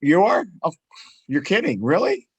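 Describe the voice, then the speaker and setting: A man hosting a casual talk show, excitable and chatty, sometimes halting with fillers when summarizing. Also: on the high side, slightly rough, dry recording, low-quality recording. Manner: excitable, laughs easily, swears casually. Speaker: show host and interviewer. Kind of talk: casual chat and interview.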